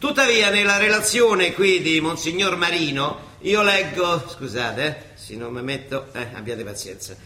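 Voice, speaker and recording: An actor playing an older monsignor and judge with a Roman accent, halting, colloquial and ironic, in radio theatre style. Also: male voice, on the high side, lively intonation, pushed and bright, reverberant, slight background noise. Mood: sardonic. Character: old Roman monsignor judge, wry, rambling, quietly defiant.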